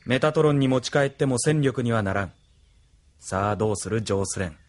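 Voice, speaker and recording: A man's voice: medium pitch, animated, a little fast, close to an everyday voice. A man playing a cold ambitious officer. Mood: smug. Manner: cool, detached. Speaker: military officer and pilot. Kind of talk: studio radio drama acting.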